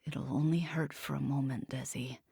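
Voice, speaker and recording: A woman voicing a conflicted young male demon who speaks low and emptily. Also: fairly even intonation, moderate pace, creaky, warm tone, breathy edge, dry recording, studio quality, heavily acted. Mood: resigned. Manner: low, empty, subdued delivery.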